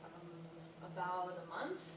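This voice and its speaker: A woman's voice: on the low side, animated, slow. Sarcastic, cagey young daughter for a play reading, acted by a woman; distant mic, noisy reverberant room. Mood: guilty, subdued. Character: defensive, sarcastic young college-dropout daughter hiding lies. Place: echoey room at a staged reading, far mic.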